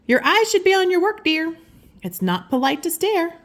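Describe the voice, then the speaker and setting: A woman's voice: very high-pitched, big pitch swings, normal speed, pitched above the performer's own voice. A woman voicing a prim female schoolteacher from a picture book, calling out sharply and politely correcting a pupil. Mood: prim scolding.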